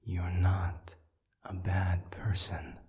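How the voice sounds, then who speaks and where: A man voicing a calm, wise demon counselor in an intimate audio roleplay, soft and breathy, speaking in measured phrases with deliberate pauses. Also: muffled recording. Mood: soothing, earnest. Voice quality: breathy, whispery, very low.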